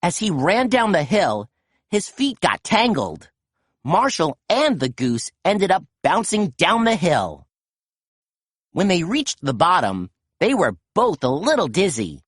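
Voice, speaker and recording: A woman reading a children's picture book aloud in a gentle, expressive storytelling manner. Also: fairly low pitch, takes it slowly, husky, dry recording, clean studio sound. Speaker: storytime reader.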